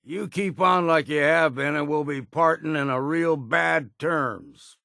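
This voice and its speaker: An actor playing a grizzled old hard-drinking islander in a video game, with a gravelly, husky voice and a wry, folksy drawl. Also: male voice, fairly high pitch, measured pace, pushed and bright, dry recording, studio quality, full character voice. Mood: irritated. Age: older. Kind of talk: video game character voice lines.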